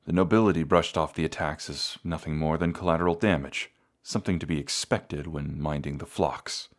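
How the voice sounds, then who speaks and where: A low-voiced, proud knight, acted out by a man, speaks straight to the listener in a fantasy audio drama. He is bratty and haughty, grumbling through his wounds while hiding grief beneath his pride. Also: steady tone, brisk. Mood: somber recounting. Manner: haughty, grumbling, reluctantly vulnerable. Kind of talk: scripted audio roleplay addressed to the listener.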